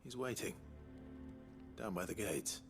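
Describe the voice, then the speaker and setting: A low voice for a calm, resolute video game hero. He speaks with measured courtesy and earnest determination, over faint music. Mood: polite, restrained. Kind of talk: video game drama acting.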